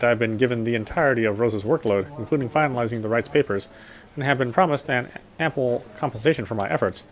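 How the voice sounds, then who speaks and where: A man reading a visual novel's text aloud as dramatic narration, solemn and a little halting. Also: lowish voice. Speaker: game player reading story text aloud.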